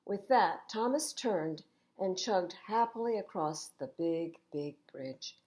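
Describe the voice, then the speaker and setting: An older woman hosts a children's storytime. She reads with emphasis and wide, lively swings in pitch, her voice a little low, and adds chatty asides for young listeners. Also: slow pace. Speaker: library storytime reader.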